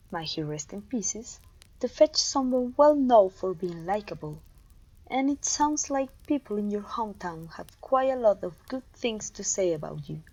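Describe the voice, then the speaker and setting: A woman playing a haughty, menacing evil sorceress queen in an ASMR roleplay, pompous and commanding, with a breathy voice. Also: medium pitch, rough recording. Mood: scornful, ambitious, then smugly menacing. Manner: pompous, commanding, deliberate condescension. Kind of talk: ASMR roleplay voice acting addressed to listener.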